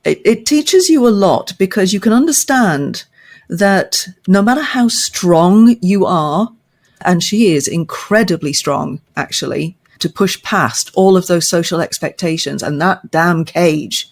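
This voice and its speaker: An older woman, a podcast guest, discussing literature thoughtfully with measured, emphatic phrasing and deliberate pauses. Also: fairly low pitch, expressive, gravelly. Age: older, past fifty.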